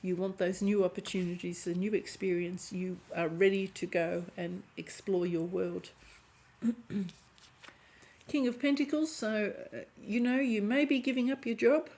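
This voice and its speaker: A woman giving a chatty tarot reading to camera, hoarse and often clearing her throat as her voice fades. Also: mid-range voice, steady tone, unhurried, a little mellow. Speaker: tarot reader.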